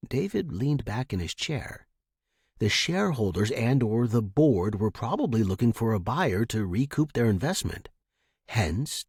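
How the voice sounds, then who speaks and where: A man's voice: fairly low pitch, husky, no echo, clean studio sound. A man reading a short story as scripted, dramatic narration for a fiction podcast.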